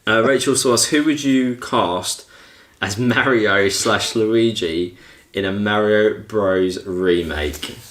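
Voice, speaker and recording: A man's voice: on the low side, slow pace, a bit bright. A man co-hosting a podcast with casual, jokey, self-deprecating banter. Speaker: podcast co-host. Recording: echoey room sound.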